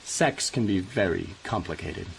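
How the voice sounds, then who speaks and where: Smooth, low-voiced American politician. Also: male voice, lively intonation, a little slow, heavily acted, poor audio. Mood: low, guarded. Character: smooth, ambitious American male politician, cynical charmer. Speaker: actor in a radio play. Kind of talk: radio drama acting. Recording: faint background noise.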